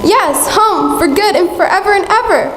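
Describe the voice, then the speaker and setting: Little sister acted by a woman, very high voice, eager, tender, radio play, echoey hall, faint noise. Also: animated, moderate pace, a bit bright. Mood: joyful. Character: affectionate, eager little sister, a young girl. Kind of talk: live radio play stage acting. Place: theatre stage, live performance.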